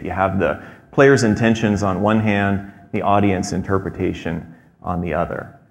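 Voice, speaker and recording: A man in his thirties giving a prepared talk on a theater stage, measured and explanatory, with frequent fillers. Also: sits low, a little hiss or hum. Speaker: presenter. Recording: long hall reverb.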